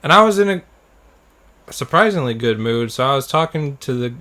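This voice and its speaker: A man's voice: mid-range voice, animated, moderate pace, sharp tone. A man co-hosting a podcast in casual, informal chat, telling anecdotes and acting out what people said. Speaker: podcast co-host.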